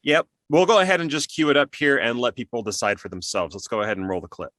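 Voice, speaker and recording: A man's voice: medium pitch, fast, fairly bright tone, dry recording, clean studio sound. A man hosting an interview in a casual, conversational style. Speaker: interview host.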